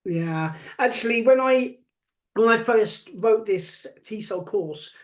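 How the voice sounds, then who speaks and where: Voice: mid-range voice, lively intonation, slow. A podcast host interviewing a guest in a casual, hesitant way, asking questions over an online call. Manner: hesitant, many fillers and restarts.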